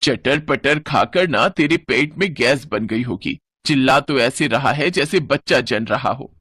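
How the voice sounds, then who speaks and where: Scolding village grandmother, played by a man, in lively swings. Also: mid-range voice, unhurried, breathy edge, no room sound, clean studio sound, pitched below the performer's own voice, exaggerated voice. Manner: chiding, blunt.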